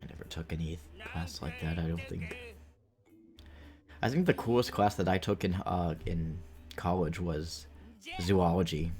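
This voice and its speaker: A man's voice: low voice, measured pace, pauses often, a little mellow. A man in his late twenties chatting casually and rambling through anecdotes as he streams a game to viewers. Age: late 20s. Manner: rambling, anecdotal, acts out quoted remarks. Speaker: video game livestreamer. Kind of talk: casual chat with viewers while gaming. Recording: music behind the voice.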